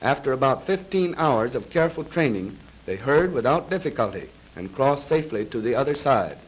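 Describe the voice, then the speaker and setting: A male narrator delivers scripted military instruction in the style of a 1930s training film. His pitch sits in the middle range for a man. He speaks formally and precisely, with a measured delivery, as heard on a vintage film soundtrack. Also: very animated, slight background noise, low-quality recording. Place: voice-over recorded for a film.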